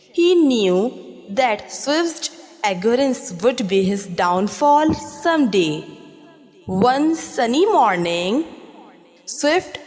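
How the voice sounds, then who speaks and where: Slow, animated woman storyteller with long reverb. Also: fairly high pitch. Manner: deliberate, clearly pronounced delivery. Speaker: children's storyteller and teacher. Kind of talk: scripted story narration for children. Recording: long reverb, music behind. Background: music.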